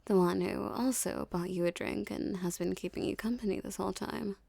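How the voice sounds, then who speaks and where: A dominant female mafia boss, played by a woman for an ASMR roleplay, speaking in a mellow, creaky voice recorded close and dry. She teases and condescends softly, with seductive, menacing confidence. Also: medium pitch, fairly even intonation, no room sound. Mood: coaxing, self-assured. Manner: slow, teasing, condescending drawl.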